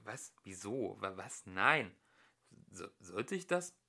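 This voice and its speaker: A man's voice: fairly low pitch, big pitch swings, slow, pushed and bright, heavily acted. A man reading an audiobook and voicing a panicking, indignant young Englishman who stammers and shrieks. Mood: confused.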